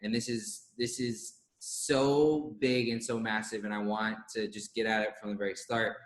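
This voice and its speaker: A man in his twenties co-hosting an online interview, energetic and full of praise for his guest. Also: lowish voice, moderate pace. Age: 20s. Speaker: co-host interviewer. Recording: roomy echo.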